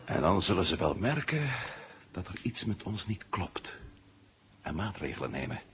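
Low, animated astronaut hero, noisy tape. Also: male voice, moderate pace. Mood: urgent, persuasive. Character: resourceful male astronaut hero, decisive captive planning escape. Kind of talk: 1970 Dutch radio drama acting. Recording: noisy 1970 radio drama tape.

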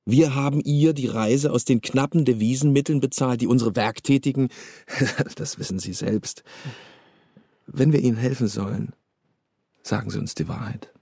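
An actor in a German radio drama playing an oily, chummy, quietly menacing East German state security officer, insinuating and bureaucratic. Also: male voice, medium pitch, normal speed, creaky, husky, no room sound, clean studio sound. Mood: officious, insinuating. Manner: insinuating, bureaucratic, with knowing chuckles.

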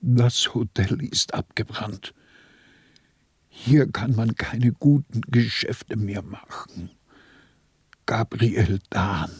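An actor in a radio play voicing a weary, shrewd small-time dealer with a rough, resigned way of talking. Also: male voice, on the low side, extremely slow, long pauses, no room sound, full character voice. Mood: tired, musing. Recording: dry studio with faint music.

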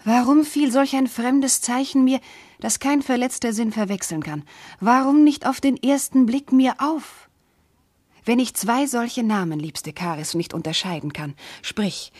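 An actress playing an anguished, devoted young princess in a classical German verse radio play, speaking in an impassioned, declamatory way. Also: female voice, fairly high pitch, a little mellow, no room sound, studio quality, natural voice.

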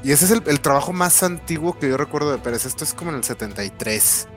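A man with a Mexican Spanish accent on a casual comics panel, recalling details slowly with frequent pauses and fillers. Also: a little high, animated, soft background music. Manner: hesitant, many "eh" fillers, slow recollection. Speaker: podcast panelist. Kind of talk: casual comic-book chat.

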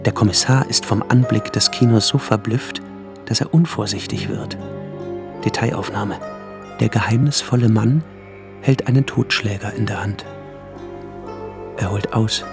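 An actor playing a narrator who reads out film scenes in short, clipped, suspenseful sentences for a radio drama. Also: male voice, on the low side, measured pace, long pauses, music in the background. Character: male narrator describing silent-film scenes and shots. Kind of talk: scripted scene narration, screenplay-like.

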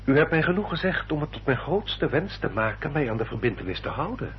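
An actor playing a courtly, insistent gentleman suitor in a radio play, formal and emphatic. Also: on the high side, very animated, a little fast. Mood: courteous, insistent. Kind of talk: Dutch radio play acting. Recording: dull, noisy old radio recording.

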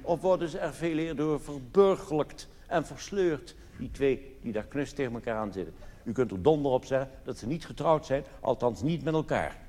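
A man's voice: mid-range voice, lively intonation, normal speed, a little mellow. A live recording from a big echoing hall with some noise in it. A male cabaret comedian delivers a monologue in a mock-solemn, theatrical manner, his intonation swinging widely as he poses rhetorical questions and tells anecdotes. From Netherlands. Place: theatre stage in a large hall.

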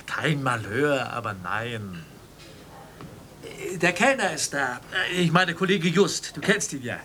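A man's voice: mid-range voice, lively intonation, slow pace, sharp tone, full character voice. An actor playing a timid, evasive family man in a radio drama, polite and flustered. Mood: evasive, nervous. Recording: slightly noisy old cassette.

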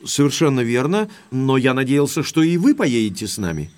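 An actor playing an earnest, uneasy baronet in an audio drama, speaking expressively and with some deference. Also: male voice, mid-range voice, big pitch swings, brisk, darkish tone, close to an everyday voice. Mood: dismayed.

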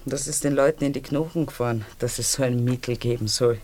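A woman's voice: rumbling low, fairly even intonation, fairly quick, a little mellow. An actress playing a troubled, melancholy woman who reproaches her lover in a radio play, with an Austrian accent. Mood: guarded, wry.

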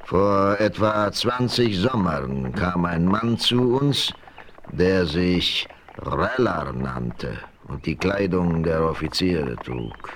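An actor playing an old Osage chief in a 1970s radio play, deliberate and grave, speaking of himself by name. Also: male voice, sits low, expressive, crowd noise. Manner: slow, deliberate, speaks of himself in third person.

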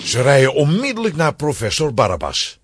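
A man's voice: medium pitch, wildly swooping pitch, fairly quick, breathy edge, no room sound, studio quality. A man narrating a children's audio drama from a script, as a storyteller.

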